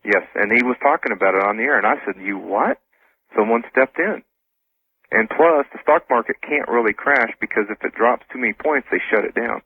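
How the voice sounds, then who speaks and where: A man speaking as a radio interview guest, casual and informal, explaining things haltingly. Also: mid-range voice, breathy edge, no room sound. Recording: tinny phone-like radio broadcast.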